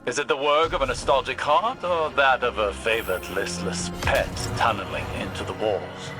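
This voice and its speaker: An actor playing a cool, cerebral commander in a video game, formal and measured, cryptic and skeptical. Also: male voice, mid-range voice, over music, close to an everyday voice. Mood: aloof, skeptical. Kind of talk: video game mission dialogue.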